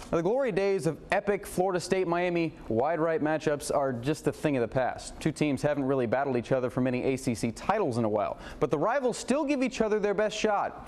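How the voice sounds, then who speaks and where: A man working as a TV sports anchor, calling highlights in a punchy, colloquial, exclamatory way. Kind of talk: highlights commentary and scripted sportscast. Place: TV studio, voice-over to highlights.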